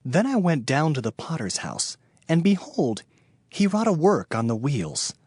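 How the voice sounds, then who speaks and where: A man giving a dramatized scripture narration as an audio Bible narrator, solemn and declamatory with deliberate phrasing. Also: on the high side, sing-song, darkish tone, breathy edge, no room sound, clean studio sound. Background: background music.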